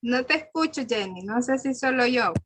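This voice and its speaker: A woman's voice: fairly high pitch, lively intonation, moderate pace, fairly bright tone, dry recording. A woman moderating an online workshop. She calls on participants by name and encourages them. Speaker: forum facilitator. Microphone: video call.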